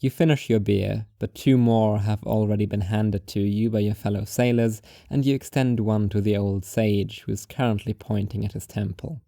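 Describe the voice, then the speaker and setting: A man reads a second-person story in a measured, wry way. His voice is mellow and of middle pitch, recorded close and completely dry. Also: steady tone, creaky, no echo. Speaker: narrator.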